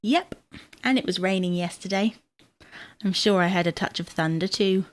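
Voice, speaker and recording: An actress playing a bossy, exasperated, teasing wife in a domestic comedy audio drama, brisk and dismissive. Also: female voice, medium pitch, no room sound, natural voice.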